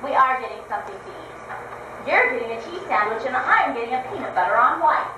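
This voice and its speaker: A woman voicing a patient, easygoing wife who answers in a calm, matter-of-fact way. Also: fairly high pitch, fast talker, natural voice. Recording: echoey, distant, noisy amateur recording.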